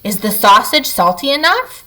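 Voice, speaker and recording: A hospitable young storybook girl, played by a woman reading aloud, expressive and lilting. Her voice is high and bright, swinging up and down in pitch. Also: normal speed. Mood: curious.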